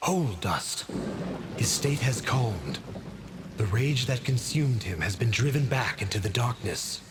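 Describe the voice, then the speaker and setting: A man voicing a solemn, measured mystical sword spirit. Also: mid-range voice, lively intonation, noisy background, lightly acted. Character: solemn, wise talking sword, a male spirit guide. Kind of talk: fantasy video game voice acting. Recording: loud music and storm behind.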